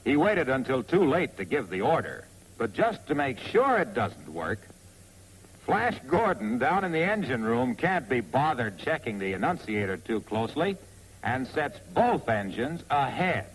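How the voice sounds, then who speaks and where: A man with an American accent narrates a 1940s instructional film in a wry, clearly phrased, scripted manner on a vintage soundtrack. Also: mid-range voice, very animated, unhurried, a little hiss or hum, rough recording. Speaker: narrator of a military training film.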